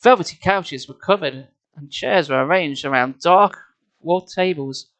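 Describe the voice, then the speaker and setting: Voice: medium pitch, measured pace, a bit bright. A storyteller reading a children's book expressively, slipping in casual, wry and mocking asides. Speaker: storyteller, live streamer.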